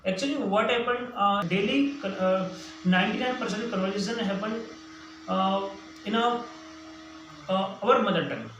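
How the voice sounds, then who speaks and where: A high-voiced man with an Indian accent, a Hindi native speaker, teaches English in a slow, hesitant way, full of "uh" and restarts. He sits away from the mic in a very echoey room. A faint hiss runs under his voice. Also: a bit bright, far from the mic. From central India. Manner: hesitant, many fillers and restarts. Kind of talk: spoken English lesson.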